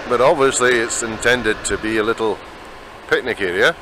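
A man's voice: medium pitch, moderate pace, background noise. A Scottish man narrating a travel vlog outdoors, chatty and rambling, on a handheld camera mic. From Scotland. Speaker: vlogger. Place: outdoors in open desert.

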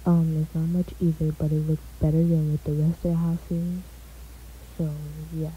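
A woman's voice: low voice, level tone, normal speed, warm tone, breathy edge, rough recording. A young woman gives a laid-back, chatty tour of her house in a game, often trailing off with "so yeah.". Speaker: gaming vlogger. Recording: faint hiss.

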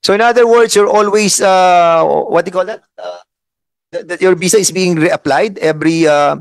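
A man's voice: sits high, normal speed, dry recording. A Filipino man chairing a congressional hearing, questioning a witness sternly in English and Tagalog. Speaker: committee chair.

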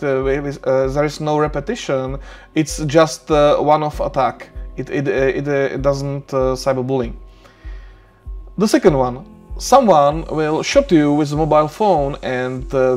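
Man, czech accent, middle-aged, fairly high voice, halting non-native lecture, desk mic, soft music underneath. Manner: hesitant, many "uh" fillers and restarts, non-native phrasing. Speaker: university lecturer. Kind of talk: recorded online lecture over slides. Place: small room indoors.

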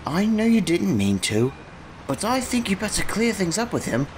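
A woman voicing a calm, kindly adult male builder who speaks gently and reassuringly. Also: medium pitch, normal speed, slight background noise, voice pushed down, close to an everyday voice.

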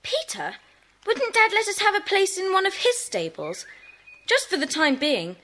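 A woman's voice: very high voice, wildly swooping pitch, normal speed, bright, forceful tone, heavily acted. A woman playing a keen, helpful schoolchild in a children's audio drama.

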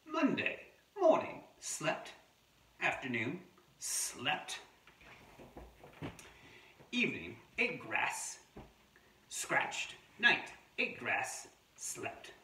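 A man voicing a sleepy, demanding wombat in an attempted Australian accent, reading its diary from a picture book in short deadpan statements. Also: a little high, very slow, pauses often, voice pushed up, heavily acted. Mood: sleepy, matter-of-fact. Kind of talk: children's picture book read-aloud. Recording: roomy, distant mic, faint background noise.

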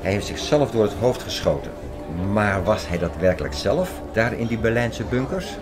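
A man acting as an earnest, conspiracy-minded scholar in an audio drama. His voice is low and he lectures insistently, explaining point by point. Music runs clearly underneath. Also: expressive, moderate pace, lightly acted. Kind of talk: scripted audio drama dialogue.

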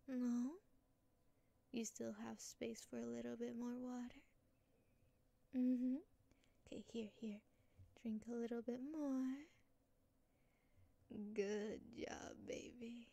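A woman speaking in soft, breathy whispers in a soothing ASMR roleplay as a comforting motherly caretaker. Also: a little high. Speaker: ASMR creator.

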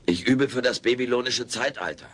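A man's voice: medium pitch, a little fast, close to an everyday voice. A man playing a cheeky, naive furry alien houseguest in a comedy audio play, wisecracking and self-assured. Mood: smug.

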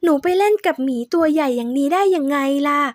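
Woman doing a high pleading young mother. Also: animated, quick pace, no echo, clean studio sound.

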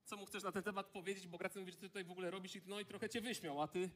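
Wysoki męski głos, szybkie tempo. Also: male voice, high voice, steady tone, rapid-fire, a bit bright. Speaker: press conference host. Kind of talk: live event moderating, calling for order.

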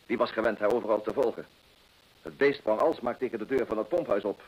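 Husky, proud, cornered gentleman. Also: fairly low pitch, fast talker. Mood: grave, confessing. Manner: formal, self-justifying. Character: proud, cornered gentleman defending his desperate deed. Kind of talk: Dutch radio drama acting. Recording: muffled vintage radio play recording.

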